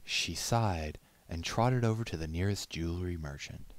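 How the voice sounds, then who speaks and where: A man's voice: low voice, moderate pace, dry recording. A man reading a story aloud as scripted storybook narration. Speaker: amateur story reader.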